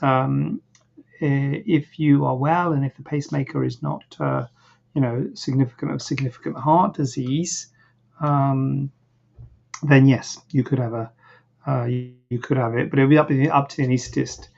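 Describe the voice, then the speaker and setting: Husky, gravelly man, hesitant and rambling. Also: mid-range voice, a little mellow. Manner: rambling, hesitant, many fillers and restarts. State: a bit croaky, clearing throat. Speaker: plastic surgeon hosting a live Q&A. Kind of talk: casual live Q&A answering viewer questions. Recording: dull, lo-fi livestream audio.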